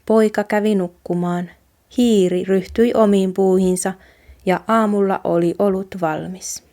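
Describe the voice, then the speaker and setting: A woman from Finland reads a folk tale aloud. Her voice is mellow and soft-edged, at a medium pitch. She narrates in storybook style. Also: steady tone. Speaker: Finnish teacher reading aloud.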